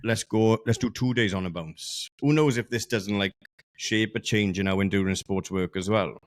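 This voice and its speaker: Rambling podcast co-host. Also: male voice, lowish voice, moderate pace. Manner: rambling questions, restarts and hedges. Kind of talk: casual podcast chat.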